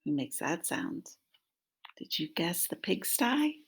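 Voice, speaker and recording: Slow, slightly husky woman reading to children. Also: on the low side, very animated. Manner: prompting, question-asking, addressing young children. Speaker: teacher reading a picture book aloud. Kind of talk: interactive children's story read-aloud. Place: small room at home. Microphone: laptop microphone at arm's length.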